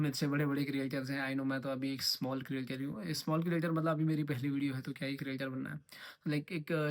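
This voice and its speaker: A young man in his twenties from Uttarakhand, talking casually to camera in a rambling vlog and slipping English phrases in. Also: medium pitch. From Uttarakhand, India. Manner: rambling, mixes English phrases, repeats himself. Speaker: trading vlogger.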